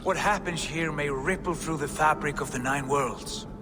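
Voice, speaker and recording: Casting: male actor as steadfast warrior god, a king's trusted friend; fairly high voice, earnest, measured, reverberant game cutscene. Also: natural voice. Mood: calm, reassuring. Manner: earnest, measured, formal counsel. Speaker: video game character actor. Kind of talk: dramatic game cutscene acting. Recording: echoing hall reverb under music.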